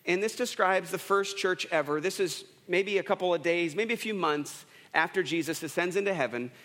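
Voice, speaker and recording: A man's voice: sits high, normal speed, fairly bright tone. A man in his thirties preaching a casual, storytelling sermon, chatty and self-deprecating with lots of restarts. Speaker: pastor. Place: church sanctuary. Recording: long church reverb.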